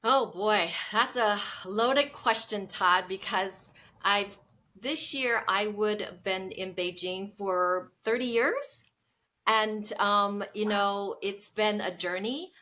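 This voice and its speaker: A woman's voice: medium pitch, takes it slowly, sharp tone, low-quality recording. A woman, telling her story as an interview guest with many ums. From Seattle, United States. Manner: chatty, storytelling, frequent ums. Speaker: guest, business founder. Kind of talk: casual interview conversation.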